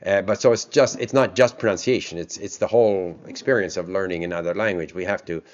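Deep-voiced male host on muffled audio. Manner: thoughtful, reflective, a little halting. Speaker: interview host. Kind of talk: conversational interview questions.

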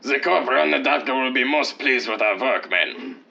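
An actor playing a domineering Nazi soldier villain, barking commands in an old-time radio adventure parody. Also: male voice, medium pitch, expressive, a little fast, vocal fry, muffled recording. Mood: menacing, commanding.

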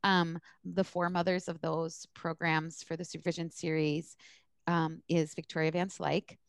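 A woman's voice: low voice, little pitch movement, normal speed, creaky, no echo, studio quality. A woman from the US East Coast speaking bluntly and candidly in an interview, with emphatic, conversational answers like an instructor explaining her work. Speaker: social work supervision instructor.

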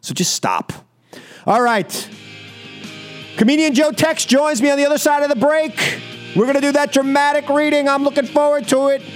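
High-pitched American man, scolding radio host. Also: normal speed, faint music behind. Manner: emphatic, scolding, speaks in short repeated phrases. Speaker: radio talk show host. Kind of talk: political opinion monologue on call-in radio.

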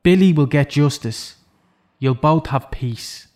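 An actor playing an imprisoned killer in an audio drama, self-loathing and intense, speaking with deliberate, persuasive insistence. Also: male voice, on the high side, a little mellow. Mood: pleading, coaxing.

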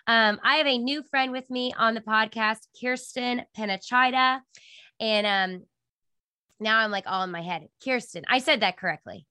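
A woman's voice: on the high side, moderate pace, pushed and bright, clear, resonant tone, no room sound. A woman hosting a podcast interview over a video call, talking in a chatty way with lots of fillers, her voice nasal from a cold. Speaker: podcast host. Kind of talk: casual interview chat.

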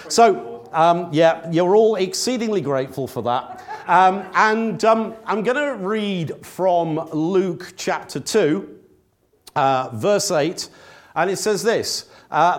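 A middle-aged man with a slightly croaky voice from a cold, giving a chatty, self-deprecating church sermon and reading scripture. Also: medium pitch. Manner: self-deprecating, chatty, with frequent fillers and restarts. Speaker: lay preacher.

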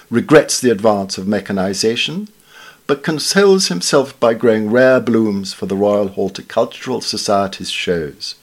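A man giving a measured, formal scripted reading of witty literary essays. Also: medium pitch. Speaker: reader of newspaper essays.

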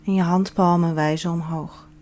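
A woman leading a guided relaxation as a yoga instructor, giving soothing, measured instructions with pauses. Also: on the low side, fairly even intonation, darkish tone. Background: background music.

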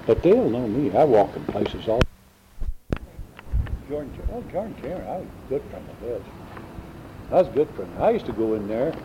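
A man's voice: medium pitch, very animated, moderate pace, long pauses. A man in his seventies reminiscing in a casual oral-history interview, rambling and often stalling as he searches for names. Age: 70s. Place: indoors in a large banquet room. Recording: lo-fi tape with loud hiss.

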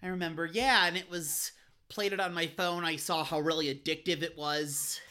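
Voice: mid-range voice, fairly even intonation, moderate pace, bright, forceful tone. A podcast co-host chatting casually, giving short, eager answers in loose phrasing.

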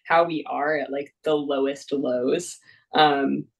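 A woman's voice: sits low, steady tone, slow, creaky, distant mic. A young woman, a mountain guide, talking casually in an interview, laughing often and full of fillers and restarts.

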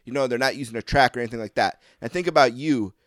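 A man's voice: mid-range voice, rapid-fire, dry recording, studio quality. A man hosting a casual interview, chatty and asking long winding questions. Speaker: podcast host.

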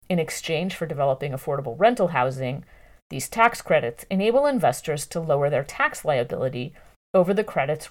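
A woman narrating a long-form news article from a script in a measured, clearly phrased way. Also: low-pitched.

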